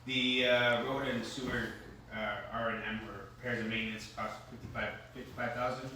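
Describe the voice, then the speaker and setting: A member stands to ask about the budget, his voice flat and hesitant. It has a bright, tense edge and reaches the mic from far off in a hall with heavy echo. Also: mid-range voice, moderate pace, a little hiss or hum. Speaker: member asking a question. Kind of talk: question from the floor.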